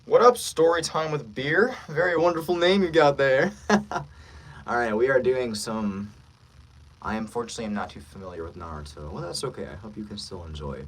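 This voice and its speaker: A man's voice: medium pitch, normal speed, husky, low-quality recording. A man hosting a casual livestream as a voice actor, joking playfully with the viewers as he talks.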